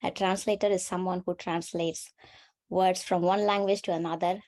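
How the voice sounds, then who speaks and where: A woman with a lowish pitch hosts a webinar over a video call. Her is Tamil, and she circles her points aloud with fillers and self-corrections. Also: level tone, normal speed, darkish tone. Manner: thinks aloud with many fillers and restarts. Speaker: webinar host. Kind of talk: conversational webinar discussion.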